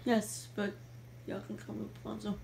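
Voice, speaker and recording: A woman's voice: mid-range voice, completely flat delivery, normal speed, vocal fry, close to an everyday voice. A woman in an amateur fandub playing a frightened, sorrowful young princess trapped in a tower, plaintive and dramatic, on a noisy home recording. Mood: wistful.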